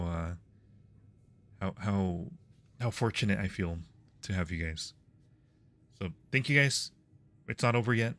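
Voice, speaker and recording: A man's voice: lowish voice, fairly bright tone. A man hosting a livestream, chatting casually with his viewers while playing games. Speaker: livestream host.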